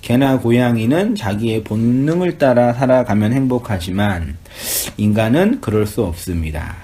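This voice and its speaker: A man, a pastor, leading a small-group Bible study in a relaxed, chatty way, asking the group questions and laughing easily. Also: mid-range voice, normal speed. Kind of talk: casual Bible study teaching.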